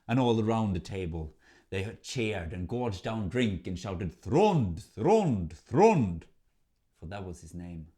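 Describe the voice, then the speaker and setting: A man narrating a folk tale as a storyteller, in a theatrical, measured manner. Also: low voice, darkish tone.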